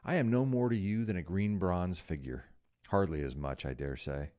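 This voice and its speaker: A man's voice: low voice, normal speed, dark tone, lightly acted. A man voicing an anguished young man, vain and afraid of growing old, who pleads melodramatically. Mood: bitter.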